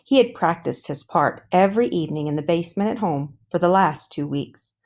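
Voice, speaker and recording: A woman narrating a children's book aloud in a careful, deliberate read-along style. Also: lowish voice, animated, darkish tone. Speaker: storybook reader.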